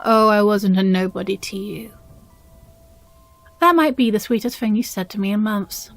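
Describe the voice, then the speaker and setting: A woman playing a possessive, jealous demon girlfriend in an intimate audio roleplay, breathy and often whispering, with condescending sweetness. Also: medium pitch, no room sound, faint music behind, natural voice. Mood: condescending, cold. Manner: slow, deliberate, sighing, condescendingly sweet.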